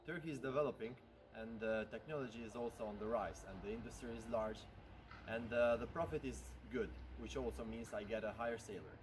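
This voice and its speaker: A man from Albania, a native Albanian speaker working in IT software, answering interview questions hesitantly with many pauses. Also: medium pitch, steady tone, background noise. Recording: music playing loudly behind.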